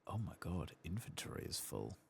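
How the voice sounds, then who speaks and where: Very deep, gravelly soft-spoken man. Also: sing-song, normal speed, slight background noise. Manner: muttered, absent-minded thinking aloud, casual swearing. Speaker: host of a gameplay channel. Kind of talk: soft-spoken ASMR gameplay commentary.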